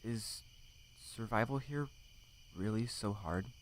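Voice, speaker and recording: Naive young pet tomcat played by a man, low-ish voice, hesitant and full of questions, for an animal audio drama. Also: slow pace, slight background noise, poor audio. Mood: curious. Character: curious, naive young male house cat. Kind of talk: audiobook fandub acting.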